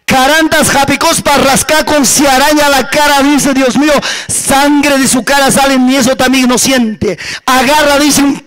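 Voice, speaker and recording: High-pitched man preaching with dramatic storytelling. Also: fairly even intonation, measured pace, fairly bright tone, low-quality recording. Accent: Andean Spanish. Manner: dramatic, repetitive, with rhetorical questions to listeners. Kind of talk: fervent storytelling sermon to a congregation.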